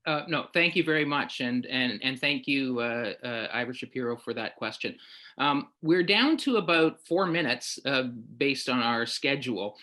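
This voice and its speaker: A man moderating a panel discussion, politely handing over to speakers in hesitant, pause-filled phrasing. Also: medium pitch, animated, pushed and bright.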